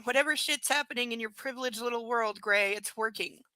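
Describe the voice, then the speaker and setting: A woman's voice: fairly high pitch, fairly quick, sharp tone, dry recording. A woman reading a cocky male sparring coach. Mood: taunting. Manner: taunting, coarse.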